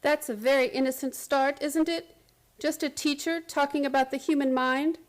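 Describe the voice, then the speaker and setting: Actress as an earnest, high-voiced woman teacher, pleading. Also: fairly even intonation, normal speed, lightly acted. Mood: confiding, wistful. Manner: pleading, intense, confiding. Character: earnest, distraught female high school physics teacher pleading for help. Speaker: narrator and lead character of radio play. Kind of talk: radio drama acting.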